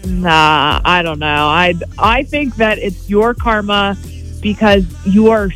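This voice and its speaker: A woman's voice: mid-range voice, fairly bright tone, soft background music, rough recording. A young woman acting as the show's sports correspondent, teasing the host and recapping games with lots of "um"s. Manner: teasing, quick recaps with many fillers. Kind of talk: casual sports chat, teasing.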